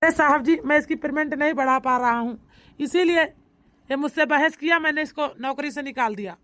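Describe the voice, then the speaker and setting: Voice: high-pitched, fairly quick, bright, forceful tone. A performer voicing an anxious company boss in a cartoon comedy, speaking in an emphatic, pleading manner.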